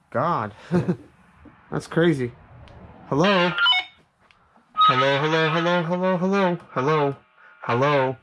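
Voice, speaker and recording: A man giving casual, rambling hands-on commentary as he tests a product, thinking aloud as he goes. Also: medium pitch. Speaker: product reviewer. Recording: noisy recording with clicks.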